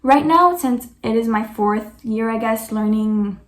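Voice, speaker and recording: A woman's voice: a little high, a little slow, echoey room. A woman vlogger answering viewer questions in casual chat, chatty and peppered with "like" and self-corrections.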